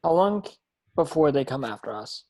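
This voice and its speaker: A man playing an anxious young wizard hero in a table read, urgent, with a husky voice. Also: on the high side, very animated, moderate pace, dark tone. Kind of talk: amateur screenplay table read.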